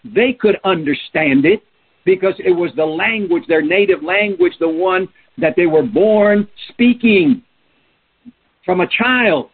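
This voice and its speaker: Middle-aged guy w/ preaching, kinda husky, sounds like a phone line. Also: high-pitched, expressive, a little slow. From Peru. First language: Spanish. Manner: earnest, emphatic, asks rhetorical questions. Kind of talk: sermon.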